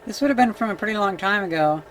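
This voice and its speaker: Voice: mid-range voice, expressive, quick pace. A vlogger giving a casual, unscripted demonstration, musing and tentative as if thinking aloud. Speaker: vlogger demonstrating vintage audio gear. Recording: clicks and background noise.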